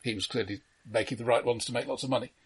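A man with a somewhat husky, unpolished voice at an ordinary pitch chats about film as a co-host. He explains in a halting, faintly pedantic manner, careful over small factual points. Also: steady tone. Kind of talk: casual informative film discussion.

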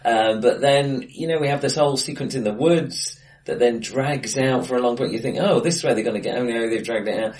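A man co-hosting a casual film discussion, hesitant and full of restarts, a few drinks in, recorded face to face in an acoustically bright room. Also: mid-range voice, a little fast, hardly any pauses. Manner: hesitant, many ums and restarts, laughs often. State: a few pints in. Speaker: podcast co-host.

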